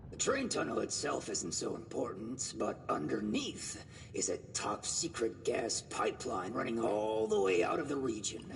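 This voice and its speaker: An actor playing an easygoing caravan guard in a video game, a loyal underling with a casual, expressive delivery. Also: male voice, medium pitch, normal speed, gravelly, in a large hall, far from the mic, slight background noise. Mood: matter-of-fact. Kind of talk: video game character dialogue.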